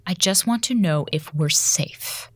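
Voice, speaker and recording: A woman playing a no-nonsense, impatient space station commander in an audio drama, speaking briskly and directly. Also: on the low side, fairly bright tone, breathy edge, dry recording.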